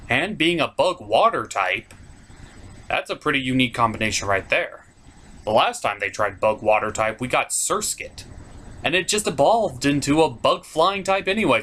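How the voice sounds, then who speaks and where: A man's voice: mid-range voice, fairly bright tone, husky. A man hosting a top 10 countdown, giving opinionated video game commentary in an informal, emphatic way. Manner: informal, emphatic, with mild swearing.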